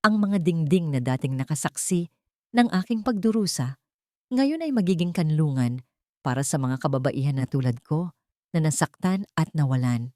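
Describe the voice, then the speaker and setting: A woman's voice: sits low, lively intonation, moderate pace, mellow, dry recording, clean studio sound. A woman reading a first-person story as scripted audiobook narration, in an earnest, dramatic storytelling manner.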